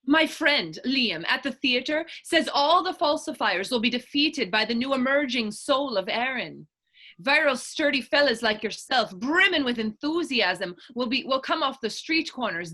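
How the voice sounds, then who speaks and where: A woman's voice: high-pitched, lively intonation, normal speed, bright, forceful tone, heavily acted. A woman playing a bold, fervent Irish political idealist in a staged period drama reading, emphatic and persuasive. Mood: earnest, urging. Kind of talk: stage readers-theater dialogue in Irish period dialect.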